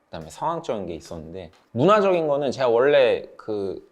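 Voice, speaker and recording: Lively low-voiced man in his thirties. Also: brisk. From South Korea. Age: 30s. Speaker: channel member sharing study-abroad experience. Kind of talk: casual group chat, storytelling.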